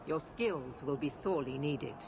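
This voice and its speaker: A woman's voice: low voice, normal speed, vocal fry, noisy background. An actress playing a stern, imperious female knight commander with an authoritative, cold and clipped manner. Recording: game audio with music behind.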